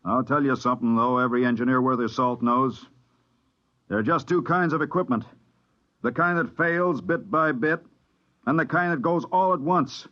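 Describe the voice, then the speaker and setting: Cantankerous male ship's engineer, played by an actor, gruff and defensive, mid-pitched, old radio serial with muffled sound. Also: normal speed, sharp tone, close to an everyday voice. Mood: dour, suspicious. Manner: gruff, grumbling, touchy. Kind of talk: mid-century radio drama acting. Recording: dull vintage radio broadcast recording.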